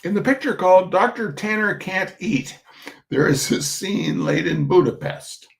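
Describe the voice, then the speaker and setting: A man's voice: sits high, animated, measured pace, vocal fry. A man hosting a reading of humorous essays, theatrical and emphatic, with mock indignation and chatty asides.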